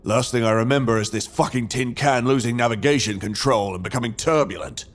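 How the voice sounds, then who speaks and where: A man's voice: medium pitch, a little fast, lightly acted. A man playing an injured, distressed and sceptical spacecraft captain in an audio drama. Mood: confused, doubtful.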